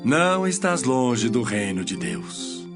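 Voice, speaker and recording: Authoritative male teacher of scripture, played by a man, solemn delivery with wide, lively inflection, soft music beneath. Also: mid-range voice, takes it slowly. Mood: solemn, emphatic. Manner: solemn, emphatic, declamatory. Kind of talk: dramatized scripture audio reading.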